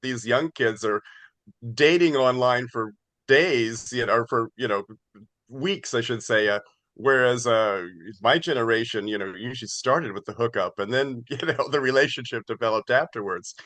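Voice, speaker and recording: A man in his sixties speaks casually, as a writer being interviewed. His pitch is average and he rambles haltingly through his explanations. The sound is dry, with very little room. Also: fairly bright tone, dry recording.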